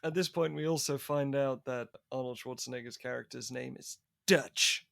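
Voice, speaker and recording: A man's voice: mid-range voice, normal speed, creaky, a bit bright, dry recording, clean studio sound. A man co-hosting a podcast, recapping a film with wry jokes in casual chat. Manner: wry, joking recaps of the plot. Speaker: podcast co-host.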